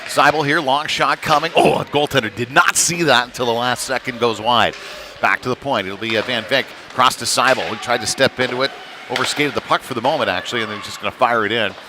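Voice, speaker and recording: A man's voice: medium pitch, quick pace. A man giving casual, unpolished live play-by-play commentary on a youth hockey game, his delivery rising with the action. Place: cold ice rink during a live stream. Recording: clear venue noise behind the voice.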